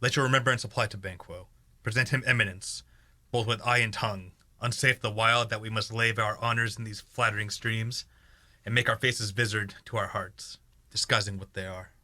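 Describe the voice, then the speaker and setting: A man's voice: lowish voice, fairly even intonation, a little fast, bright, forceful tone. A young man playing a tormented, scheming new king in a Shakespearean radio play, with dramatic, intense verse delivery. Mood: guarded. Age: young adult.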